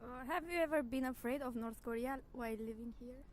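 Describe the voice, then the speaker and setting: A woman's voice: fairly high pitch, brisk, a little mellow, slight background noise. A woman working as an interviewer, asking short, simple questions.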